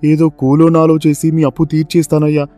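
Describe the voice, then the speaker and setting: A man's voice: a little high, completely flat delivery, fairly quick, mellow, dry recording, faint music behind, natural voice. A man voicing a humble pleading poor farmer. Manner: pleading, deferential. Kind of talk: animated story voice acting.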